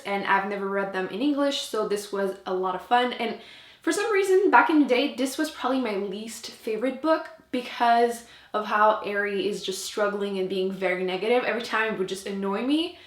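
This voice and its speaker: A woman's voice: fairly high pitch, fairly bright tone, echoey room. A French Canadian woman, a native French speaker, chatting casually and emphatically to camera as a book reviewer.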